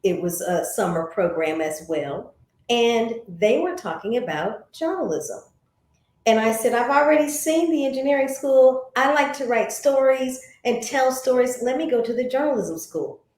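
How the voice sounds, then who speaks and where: A woman's voice: a little high, expressive, normal speed, a little mellow. An American woman being interviewed, telling personal stories in a conversational way and voicing remembered remarks. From St. Louis, United States. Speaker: interview guest, former broadcast journalist. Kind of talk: reflective interview storytelling. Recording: echoey room.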